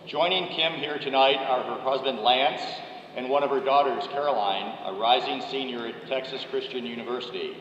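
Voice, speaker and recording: A man, a college dean, reading a measured, formal introduction of a guest speaker from a podium microphone in a large ceremony hall. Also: medium pitch, fairly bright tone, a little hiss or hum. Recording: echoey, dull hall recording.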